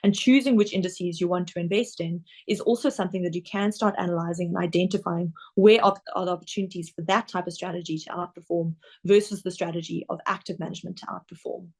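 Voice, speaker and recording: A woman's voice: fairly low pitch, fairly even intonation, darkish tone, slightly rough. South African woman presenting a webinar. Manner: measured, explanatory, occasional ums and restarts. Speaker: investment director presenting. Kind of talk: webinar presentation over slides.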